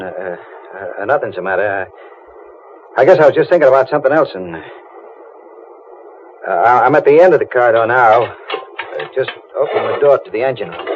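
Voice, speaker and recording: An actor playing a troubled, guilt-haunted deep-sea diver in old-time radio drama, halting and brooding. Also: male voice, close to an everyday voice. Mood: flustered. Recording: muffled, noisy old radio recording.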